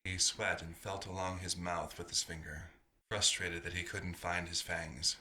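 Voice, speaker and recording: A man's voice: low-pitched, flat delivery, unhurried, sharp tone, full character voice. A man narrating a scripted story in the third person.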